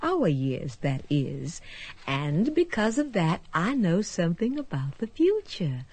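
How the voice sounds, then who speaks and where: An actress playing a whimsical, scatterbrained space traveler who rambles airily in a radio drama. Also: female voice, fairly low pitch, very animated, slow, a little mellow, breathy edge, full character voice. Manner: rambling, airy, matter-of-fact about absurdities.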